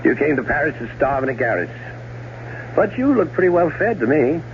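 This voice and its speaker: A man's voice: a little high, big pitch swings, brisk. An actor playing a scheming, sardonic middle-aged American man in a radio drama, on a muffled, noisy old broadcast. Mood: jovial, sardonic. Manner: sardonic, theatrical. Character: scheming, wry, well-off man plotting murder. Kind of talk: 1970s radio drama acting, first-person narration and dialogue.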